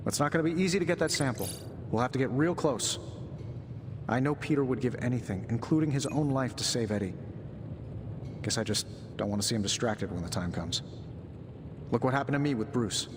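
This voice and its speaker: A wisecracking inventor superhero from a video game , played by a man. He is middle-aged, glib and chatty, with a medium-pitched voice in a reverberant space with clear rumble behind him. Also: close to an everyday voice. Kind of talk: cinematic video game acting.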